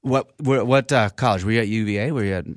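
A man's voice: lowish voice, quick pace, no echo. Chatty male radio doctor, dry studio. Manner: chatty, friendly teasing, many fillers. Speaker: radio show host, internal medicine physician. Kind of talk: casual radio health talk.

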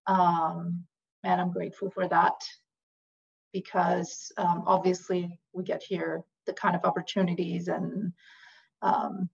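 A woman's voice: on the low side, level tone, slow, creaky, husky. A woman born and raised in Iran, speaking as an interview guest, hesitant with many fillers and restarts. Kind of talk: conversational interview. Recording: dull lo-fi audio.

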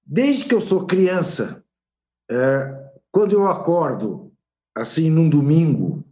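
An older Brazilian man, a sports journalist on a podcast panel, telling stories in an unhurried, reminiscing way. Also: on the high side, sing-song, mellow. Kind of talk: casual panel chat.

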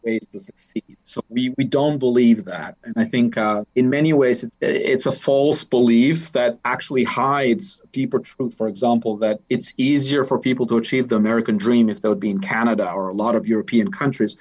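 A man's voice: mid-range voice, steady tone, moderate pace, hardly any pauses, a little mellow, muffled recording. A man from Albania with an Albanian accent, talking thoughtfully as an interview guest, often restarting and repeating words. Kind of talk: conversational interview.

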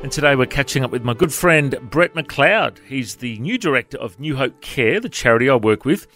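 A man's voice: mid-range voice, lively intonation, normal speed, fairly bright tone, soft background music. A man hosting a radio interview, asking friendly questions and cracking jokes. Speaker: radio host.

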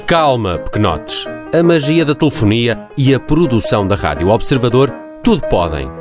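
A man's voice: mid-range voice, sing-song, normal speed. A man narrating a scripted radio drama in a tongue-in-cheek, mock-solemn way. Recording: music bed under the voice.

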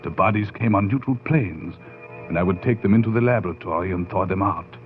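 An actor playing an obsessed scientist bent on raising the dead, theatrical and brooding with fervent swells, in 1940s radio horror style. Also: male voice, sits low, brisk, soft background music, lightly acted. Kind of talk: 1940s radio horror acting, dramatic first-person narration. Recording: noisy, muffled phone-like 1940s radio recording.

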